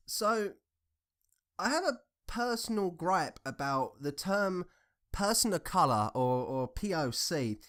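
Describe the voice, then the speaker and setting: A man's voice: mid-range voice, fairly bright tone, smooth. A young man from England with a southern English accent, giving casual ranting commentary in an informal, sarcastic, sweary way. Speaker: commentator reacting to social media posts.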